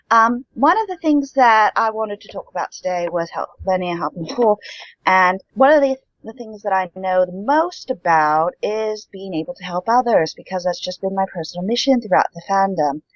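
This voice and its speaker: A woman with a mixed, placeless American accent, speaking in a measured, genteel, upper-class way in a panel conversation. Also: medium pitch, expressive, dry recording, dull audio. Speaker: podcast panelist.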